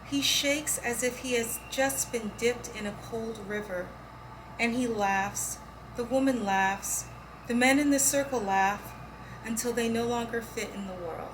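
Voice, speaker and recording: A woman's voice: on the high side, unhurried. A woman reading poems aloud as a poetry reader. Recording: clear background noise, room ambience.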